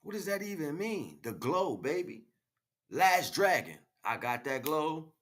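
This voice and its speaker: Man, American accent, slightly high pitch, husky rough tone, emphatic spiritual monologue, repetitive slangy phrasing. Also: sing-song, a little slow, fairly bright tone. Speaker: spiritual livestream speaker. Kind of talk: freestyle spiritual monologue, preaching.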